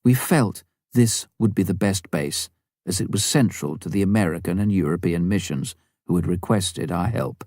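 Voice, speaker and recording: A man reading a memoir as an audiobook, in measured, clearly phrased scripted narration. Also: sits low, darkish tone, dry recording, studio quality. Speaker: audiobook reader.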